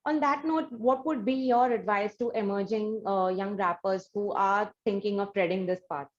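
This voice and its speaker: A woman with an Indian accent hosting an interview in a conversational way, building her questions in measured stages. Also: mid-range voice, dull audio. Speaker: interview host.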